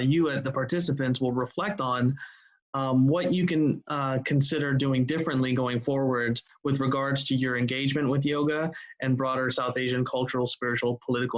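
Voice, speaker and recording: Middle-aged American man, halting and even-toned. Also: mid-range voice. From United States, Ohio. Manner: halting, deliberate phrasing with many filled pauses. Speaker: guest presenter, workshop leader. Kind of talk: informal online presentation. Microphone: video call. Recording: dull, lo-fi video call audio.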